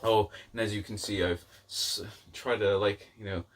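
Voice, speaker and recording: A man's voice: fairly low pitch, steady tone, normal speed, distant mic, slight background noise. A man giving a casual, rambling book-review chat to viewers, informal and self-deprecating in his delivery. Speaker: book vlogger.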